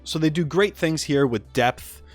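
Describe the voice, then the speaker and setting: A man's voice: fairly high pitch, measured pace, soft background music. A man hosting casual reaction commentary, chatty and enthusiastic about what he is watching. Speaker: YouTube host and animator.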